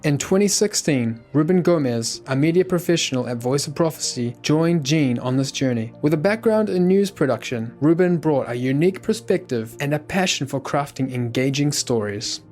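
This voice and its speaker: A man's voice: fairly high pitch, lively intonation, moderate pace, hardly any pauses, a little mellow, faint music behind. A man reading scripted documentary narration.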